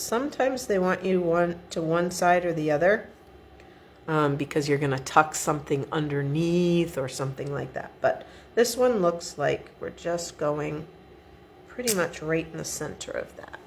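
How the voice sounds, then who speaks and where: A woman's voice: low-pitched, normal speed, a little hiss or hum. A middle-aged woman giving a chatty step-by-step card-making tutorial at her home craft desk, sniffly from a cold. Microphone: overhead camera above the desk.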